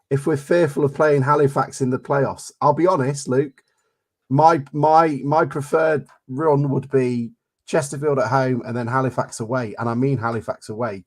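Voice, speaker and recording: An English man co-hosting a football podcast, chatting casually with blunt, jokey banter. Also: mid-range voice. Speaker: podcast co-host. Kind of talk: casual chat.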